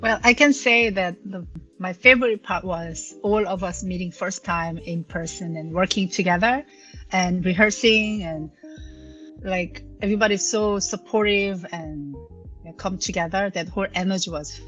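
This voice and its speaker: A woman dancer whose first language is Korean, speaking slowly and carefully in a casual conversation. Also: lowish voice, a bit bright, lo-fi sound, soft background music. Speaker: dancer guest.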